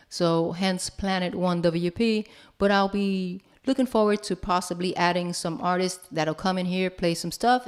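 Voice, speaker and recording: Clear-voiced woman giving a casual tutorial. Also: on the low side. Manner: informal, chatty, addresses viewers as "you guys". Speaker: host of a music production tutorial show. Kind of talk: casual software tutorial to viewers.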